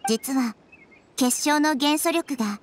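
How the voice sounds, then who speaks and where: A woman voicing a small, wise girl goddess in a game, speaking softly and with composure. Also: high-pitched, takes it slowly, a little hiss or hum. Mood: calm. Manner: soft, composed, explanatory. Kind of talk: anime game voice acting.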